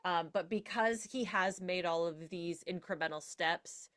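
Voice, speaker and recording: Lowish-voiced woman, wry panel guest. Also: unhurried, a bit bright. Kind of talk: casual analytical discussion.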